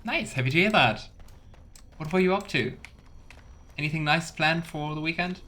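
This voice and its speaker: A man's voice: fairly high pitch, moderate pace, sharp tone. A man streaming a game, giving casual commentary, talking to chat and reading in-game text aloud. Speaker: streamer and let's player. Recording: faint game ambience behind voice. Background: faint video game ambience.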